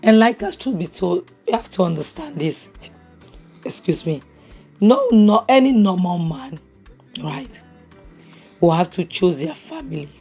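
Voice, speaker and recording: A woman talks through a drama review at home, her voice hoarse and very husky from a cough. Her pitch swings up and down in a lively way, with a mellow tone. Faint music plays softly behind her. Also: a little slow, long pauses. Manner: chatty and opinionated, many restarts and "you know". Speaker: series review vlogger. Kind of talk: casual spoken review recap. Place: home, near a kitchen appliance.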